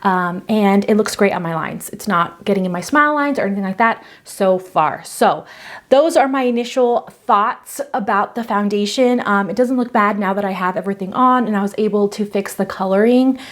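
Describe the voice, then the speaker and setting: A woman's voice: mid-range voice, moderate pace. A woman in her thirties giving a casual, chatty makeup review, talking loosely with plenty of ums and likes. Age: 30s. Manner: chatty, filler-heavy, breezy. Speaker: beauty vlogger.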